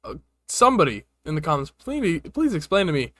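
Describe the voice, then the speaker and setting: A man's voice: fairly high pitch, sing-song, fairly quick, fairly bright tone, no room sound, studio quality. A man hosting a reaction video, commenting casually and informally in a puzzled manner.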